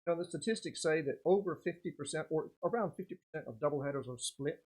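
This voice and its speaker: Folksy baseball announcer, bit husky, chatty play by play, short little exclamations. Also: medium pitch, quick pace, a little mellow. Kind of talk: casual live game commentary with chat.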